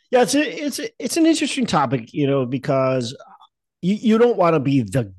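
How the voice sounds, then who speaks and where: A man's voice: mid-range voice, breathy edge, dry recording. Male podcast host, stuffy nose, coughing, rambling, fillers, dry close sound. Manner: rambling, with many fillers and restarts. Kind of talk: casual conversational chat.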